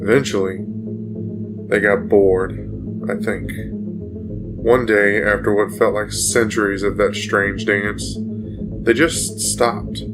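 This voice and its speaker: An actor playing a haunted, feverish man changed by otherworldly torment, telling his tale with halting, brooding intensity in a gothic audio drama. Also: on the low side, little pitch movement, creaky, rough recording. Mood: haunted, wondering. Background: guitar music.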